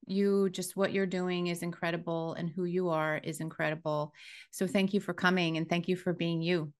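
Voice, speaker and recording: A woman hosts an interview podcast in a fairly low voice. She is encouraging and affirming, and often murmurs her agreement. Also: little pitch movement, normal speed, clean tone. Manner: warm, affirming, frequent murmured assent. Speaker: podcast host. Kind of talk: casual interview.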